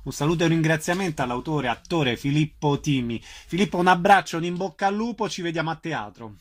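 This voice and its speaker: A man's voice: fairly high pitch, animated, a little fast. A man presents on the radio. He speaks as an interviewer, introducing guests and putting questions to them. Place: radio broadcast.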